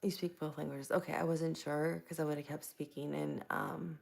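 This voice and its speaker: Woman from Tampa hosting a live stream: soft-spoken, low and heavily gravelly, chatting easily with viewers. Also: little pitch movement, normal speed. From Tampa, Florida. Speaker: livestream host. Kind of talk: casual live chat answering viewers. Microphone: plugged-in microphone.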